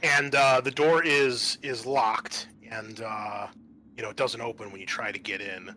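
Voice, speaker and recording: Bright-voiced male game master, halting delivery. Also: medium pitch, steady tone, slight background noise. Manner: halting, pausing mid-sentence. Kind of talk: narrating a tabletop roleplaying game.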